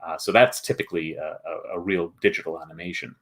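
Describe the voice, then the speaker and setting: Male host, deep voice, informal explainer delivery with hesitant, think-aloud phrasing. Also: steady tone, fast talker, a bit bright. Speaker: board game publisher and show host. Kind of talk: casual livestream chat explaining his process.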